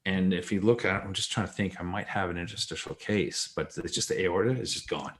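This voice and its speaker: A man's voice: deep voice, brisk, a bit bright. A man with a mixed North American and British accent, informally teaching as a specialist radiologist and explaining step by step in plain colloquial terms. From Canada, later Hong Kong.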